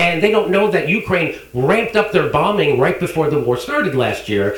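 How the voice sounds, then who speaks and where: A man rattles off political commentary in a mocking, sarcastic tone. His delivery is quick and his pitch jumps around a lot. He sounds set back from the mic in an echoey space. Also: big pitch swings. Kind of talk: monologue commentary clip. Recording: roomy, distant clip playback.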